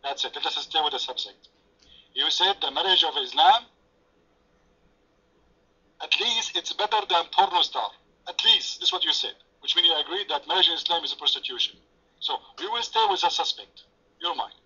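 A man's voice: on the high side, lively intonation, normal speed, long pauses, pushed and bright, slightly rough. A man whose first language is French, moderating an online debate with sarcastic, taunting remarks. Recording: lo-fi voice chat audio.

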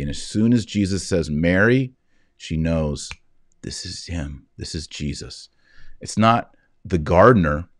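A man in his forties reading scripture and explaining it in an earnest, emphatic way with reflective pauses. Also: low voice, darkish tone, no room sound, clean studio sound. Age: 40s. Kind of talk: scripture reading with devotional commentary. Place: indoors, wood-panelled office, seated at a desk.

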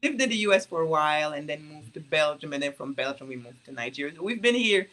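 A woman's voice: sits low, animated, fast talker, a bit bright. A woman from Congo, whose first language is French, chatting as a guest on a video call interview and laughing often as she tells long stories. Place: home room indoors.

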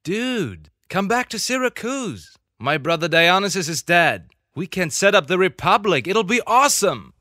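A man's voice: high-pitched, sing-song, moderate pace, hardly any pauses, sharp tone, no echo, clean studio sound, exaggerated voice. A man voicing an eager young slacker dude in a cartoon.

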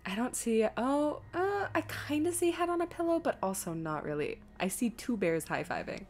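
A woman giving casual live game stream commentary, chatty and thinking out loud as she reads things aloud. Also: high-pitched, very animated, normal speed.

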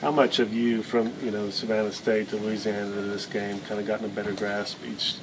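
Man, sports reporter, rambling questions, quick flat delivery, room noise behind. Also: lowish voice. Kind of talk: postgame questions. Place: crowded locker-room interview area, indoors. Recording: background noise of a busy room.

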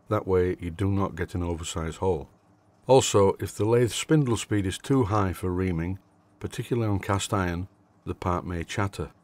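Older Yorkshire man, low-pitched, measured narrator with dry humour. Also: darkish tone. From Yorkshire, England. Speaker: hobby machinist presenter. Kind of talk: scripted workshop voice-over.

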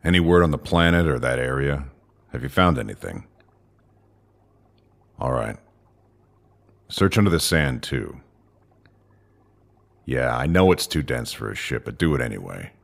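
A man plays a calm commander in a sci-fi audio drama. His voice is extremely low and full of gravelly creak. He gives orders with steady authority. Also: a little slow, long pauses, heavily acted. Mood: stern, focused. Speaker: voice actor.

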